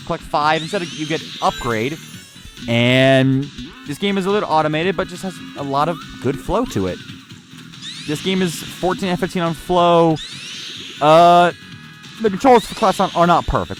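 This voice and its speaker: High-voiced rambling male game reviewer. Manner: rambling, halting, sweary. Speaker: gaming reviewer. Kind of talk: casual unscripted game commentary. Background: game music.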